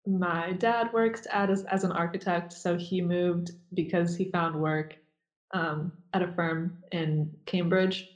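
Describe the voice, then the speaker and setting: Flat-voiced young woman, hesitant. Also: fairly low pitch, takes it slowly. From Nazareth. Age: young adult. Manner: hesitant, many fillers and restarts. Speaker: guest. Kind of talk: casual interview conversation.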